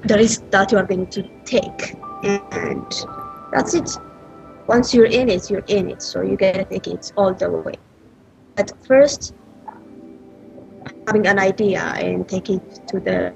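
A woman's voice: medium pitch, creaky, poor audio. A woman from Ethiopia in her thirties, answering interview questions earnestly with frequent hesitations, over an earbud headset on a video call. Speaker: entrepreneur interviewee. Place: small indoor room.

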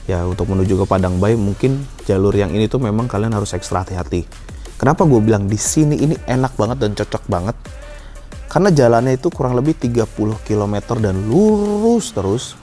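A man's voice: on the low side, animated, fairly quick, mellow. A young man, narrating and explaining casually as a motorcycle vlog host. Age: young adult. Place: indoors in a decorated lounge. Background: background music.

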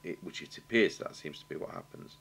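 A British man giving a halting, matter-of-fact technical demonstration, thinking aloud as he works at a workshop bench. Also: deep voice, a bit bright. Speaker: radio repair technician demonstrating. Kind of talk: unscripted technical demonstration commentary. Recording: clear background noise and hum.

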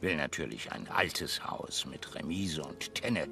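An actor playing an eccentric, war-obsessed old major in a German radio play, muttering pompously in clipped military phrases. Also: male voice, rumbling low, a little slow, exaggerated voice. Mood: proud, eager. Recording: clearly noisy ambience behind the voice.

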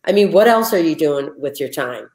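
A woman in her fifties with a lower voice, hosting a casual live chat from her kitchen over an earbud mic, earnest and chatty, often restarting her sentences. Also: lively intonation, normal speed, a little mellow, echoey room. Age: 50s. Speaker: host. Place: home kitchen. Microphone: earbud headset on a video call.

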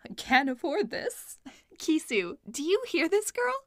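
A woman's voice: high voice, big pitch swings, unhurried, no room sound, exaggerated voice. A woman playing a haughty, theatrical stylist in a fantasy audio drama, dramatic and fussy. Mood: incredulous.

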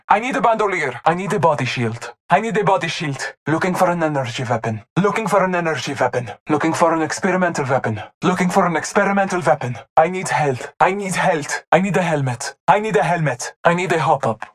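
An actor voicing a solemn, devout hunter in a video game, giving short callouts in a measured, formal, stoic manner. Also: medium pitch, natural voice. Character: solemn, devout masked hunter, calm and reverent.

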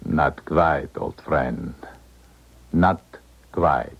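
An actor playing a calculating, formal defector in a 1970s radio drama. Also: male voice, rumbling low, vocal fry, slightly rough, full character voice. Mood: coldly resolved. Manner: formal, deliberate phrasing. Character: calculating foreign male defector, formal and smooth-tongued schemer. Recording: dull, muffled 1970s radio recording.

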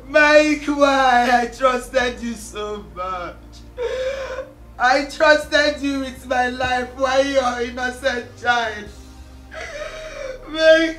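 A woman's voice: on the high side, distant mic. A woman acting in a film drama as a heartbroken, betrayed mother, plaintive and pleading. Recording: roomy echo, faint music underneath.

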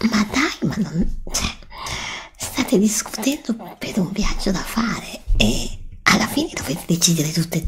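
A woman doing a soft-spoken, often whispered ASMR roleplay as a travel agent, breathy and hesitant, close to the microphone. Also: on the low side, a little hiss or hum. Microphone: desktop USB microphone, close.

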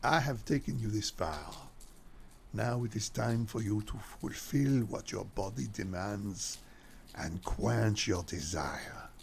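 An actor playing a suave, commanding French vampire lover, with a gravelly voice and formal, seductive delivery in a gothic radio drama. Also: male voice, fairly low pitch, slow, slight background noise. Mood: solemn, urging.

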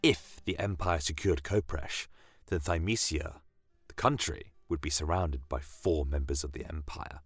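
A man narrating a fantasy story in the first person, in a measured, solemn and dramatic way. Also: rumbling low, slightly rough, dry recording.

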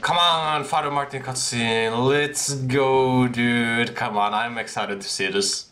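A young man in a headset commenting casually on a game he is playing, excitable and slangy, laughing often. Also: medium pitch, slow, a little hiss or hum. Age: young adult. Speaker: gaming streamer. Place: small room at a desk.

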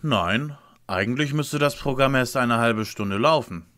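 A man's voice: mid-range voice, unhurried, fairly bright tone, close to an everyday voice. A man playing an earnest, methodical young detective leader with formal, precise speech in a radio play parody. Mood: focused.

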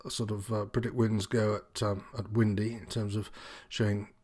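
Low-voiced man explaining informally. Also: little pitch movement, moderate pace, darkish tone. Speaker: sailing instructor presenting tutorial. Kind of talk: informal app walkthrough, unscripted explanation.

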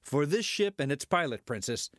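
An actor playing a cocky, boastful starship pilot, swaggering through archaic verse in an audio drama. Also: male voice, on the high side, big pitch swings, normal speed, a bit bright, no room sound, studio quality.

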